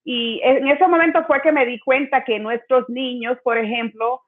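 A woman's voice: sits high, steady tone, moderate pace. A middle-aged woman from Brooklyn answering interview questions earnestly over a video call from home, often restarting her words. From New York, Brooklyn. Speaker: mayoral candidate guest. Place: home room with bookshelves. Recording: tinny phone-like video call audio.

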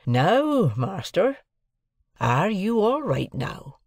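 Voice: mid-range voice, big pitch swings, very slow, a little mellow, dry recording, clean studio sound, pitched above the performer's own voice, heavily acted. A performer voicing a peaceable, plain-spoken master blacksmith with a simple, placating country manner in an audiobook. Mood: conciliatory.

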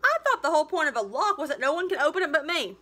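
A woman in her thirties reading a story aloud like a teacher, with emphatic, expressive delivery. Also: high-pitched, rapid-fire. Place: living room at home, indoors.

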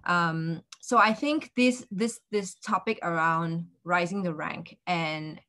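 A woman moderates an online panel from a video call. Her voice sits a little low, and she talks in a measured way, often pausing on fillers. It sounds like a headset mic on a call. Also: pushed and bright.

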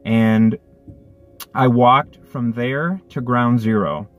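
A man's voice: medium pitch, slow pace, lo-fi sound, faint music behind. A man in his thirties, a delivery driver, telling a story earnestly from a parked car, with lots of restarts. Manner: earnest, thoughtful, with many restarts. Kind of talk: casual reflective storytelling. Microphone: phone camera on dashboard.

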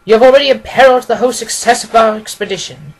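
A man's voice: extremely high pitch, quick pace, voice pushed up, low-quality recording. A man voicing a clever, commanding detective in a storybook, confident, severe and precise.

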